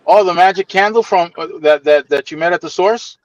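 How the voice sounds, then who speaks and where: A man's voice: high-pitched, a little fast, dry recording. A man from Puerto Rico chatting casually on a stream, often repeating his questions and asking for clarification. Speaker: stream guest. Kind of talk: casual chat. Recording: dull lo-fi remote audio.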